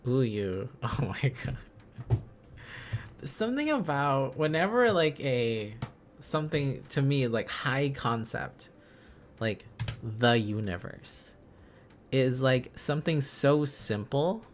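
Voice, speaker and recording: A man chatting casually as a livestream host, musing aloud in halting, repeated phrases. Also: medium pitch, expressive, slight background noise. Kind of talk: casual livestream chat while learning a language.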